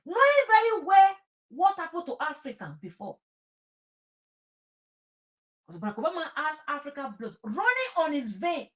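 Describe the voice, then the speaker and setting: High-pitched Nigerian woman, emphatic talker. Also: wildly swooping pitch, brisk, fairly bright tone. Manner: emphatic, repeats phrases, slips into Pidgin. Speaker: talk show host. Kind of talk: impassioned informal political talk.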